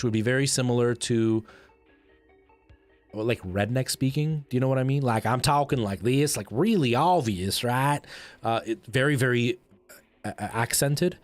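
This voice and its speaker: A man's voice: medium pitch, no room sound. Salvadoran-born guy w light canadian accent, chatty streamer talking to chat, rambly, faint music behind. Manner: chatty, rambling, lots of restarts and fillers. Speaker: livestreamer. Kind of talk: casual live-stream chat with viewers.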